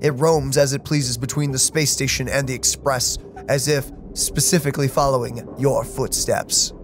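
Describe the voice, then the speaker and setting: A mid-pitched streamer gives casual playthrough commentary in an excitable, joking way. Faint music plays behind the voice. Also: moderate pace. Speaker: let's play streamer reading game text aloud.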